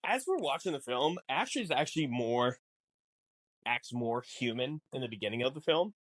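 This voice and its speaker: A man's voice: medium pitch, lively intonation, normal speed, no echo. Dry-sounding man in casual podcast chat. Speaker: podcast co-host.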